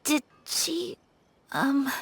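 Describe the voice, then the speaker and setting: A young woman voicing a poised, shy noblewoman in a game, formal and polite, slipping into soft whispers. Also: high-pitched, drawn-out words, vocal fry, a little hiss or hum, exaggerated voice. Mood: shy, wistful. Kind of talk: game voice acting.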